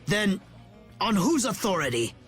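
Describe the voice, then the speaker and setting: A scheming, self-important older villain from a video game. His voice is pitched high and swings wildly as he declaims with indignation. Faint music plays underneath. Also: sing-song, a little slow, fairly bright tone, heavily acted. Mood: shocked, indignant. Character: scheming pompous older male villain, a councilman.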